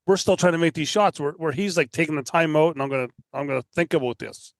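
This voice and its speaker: A man's voice: fairly high pitch, fast talker, creaky, no echo. A man from Canada chatting casually about sports as a podcast guest, rambling, repeating himself and checking in with tag questions.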